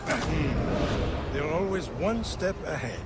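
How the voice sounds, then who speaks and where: An actor playing a dry, wary, inquisitive colonial-era gentleman in a video game drama. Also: fairly high pitch, lively intonation, slow pace, very noisy. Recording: loud outdoor street ambience behind.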